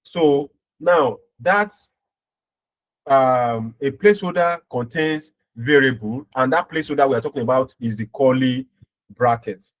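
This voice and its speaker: A man's voice: medium pitch, a little slow, rough recording. A man giving an informal step-by-step programming tutorial as an instructor, often checking in with short tag words as he explains.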